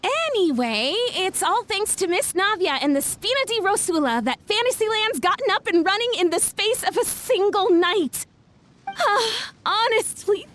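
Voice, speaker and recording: A woman voicing an excitable young female inventor in a video game, gushing and theatrical, with formal wording. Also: very high-pitched, exaggerated voice. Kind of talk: animated video game acting.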